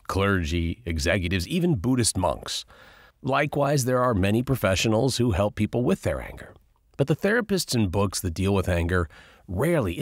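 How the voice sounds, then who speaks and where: A man narrates nonfiction in a low voice with a gravelly edge. His delivery is measured and explanatory, yet the pitch moves in a lively way as he addresses the listener directly. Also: no echo, studio quality. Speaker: audiobook narrator. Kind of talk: scripted nonfiction audiobook narration.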